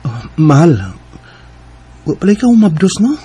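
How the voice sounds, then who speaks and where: A devoted husband in radio melodrama. His voice sits high for a man and swings widely, heartfelt and overwrought. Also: very animated, moderate pace, mellow, breathy edge, faint music behind, exaggerated voice. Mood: tender. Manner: emotional, heartfelt delivery. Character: devoted, overwrought husband Clyde longing for children. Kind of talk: melodramatic radio drama acting.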